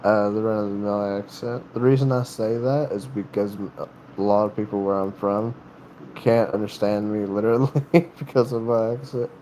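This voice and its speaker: A man's voice: fairly low pitch, steady tone, dark tone, slight background noise, rough recording. A man from North Carolina with a Southern accent, chatting casually on a livestream, rambling and restarting his sentences. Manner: rambling, halting, many restarts. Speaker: livestream host.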